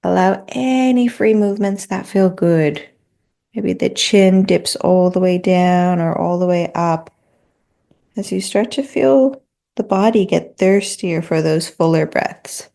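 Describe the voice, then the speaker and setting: A woman leading a guided meditation in a gentle, soothing, unhurried manner. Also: fairly low pitch, vocal fry.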